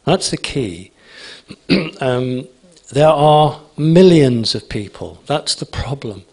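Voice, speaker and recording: Husky-voiced man lecturing to an audience. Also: medium pitch, lively intonation, takes it slowly, darkish tone. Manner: emphatic, repeats phrases, asks "okay?". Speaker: conference speaker. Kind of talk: answering audience questions at a talk.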